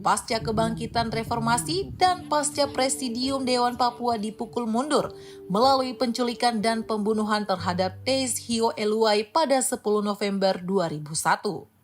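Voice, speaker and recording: A woman's voice: fairly high pitch, normal speed. A woman reading a scripted news narration as the reporter.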